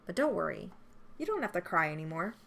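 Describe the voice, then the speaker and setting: A woman's voice: lowish voice, very animated, fairly quick, gravelly, a bit bright. A woman playing a visual novel for a gaming channel, reading its dialogue aloud. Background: game music.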